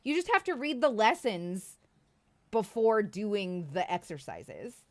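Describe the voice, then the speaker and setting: A woman's voice: mid-range voice, big pitch swings, slow pace. A woman in her thirties from Iowa, chatting casually with livestream viewers in a rambling, self-deprecating way, swearing and laughing often. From Iowa, United States.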